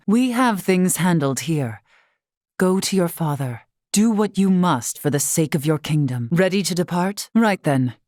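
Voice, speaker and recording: A woman's voice: lowish voice, a little mellow, dry recording, studio quality, lightly acted. An actress playing a composed, regal woman who leads a tribe in a video game, teasing at times, with formal, archaic phrasing. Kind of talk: scripted video game voice acting.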